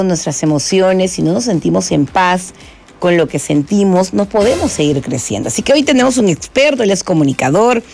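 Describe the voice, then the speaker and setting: Peruvian female radio host, mid-pitched, effusive and affectionate, chatting live. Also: a little mellow. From Peru. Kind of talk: live radio chat and interview.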